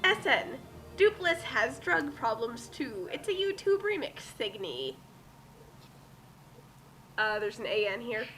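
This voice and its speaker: A woman's voice: fairly high pitch, big pitch swings, takes it slowly, long pauses, sharp tone, faint music behind. A woman co-hosting a comic dramatic reading of fan fiction, theatrical in delivery, with casual commentary. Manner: exaggerated, theatrical when reading aloud.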